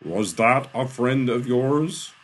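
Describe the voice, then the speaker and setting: A man voicing a powerful female sorceress heard from thin air in a storybook, menacing and mocking, slow, loud and imperious. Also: mid-range voice, wildly swooping pitch, slightly rough, voice pushed up, heavily acted.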